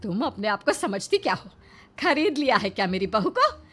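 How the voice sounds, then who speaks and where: A woman's voice: a little high, big pitch swings, moderate pace, fairly bright tone. A woman voicing a possessive, bossy middle-aged mother-in-law in a cartoon, emphatic and melodramatic. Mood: indignant, possessive. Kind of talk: Hindi moral-story cartoon dubbing.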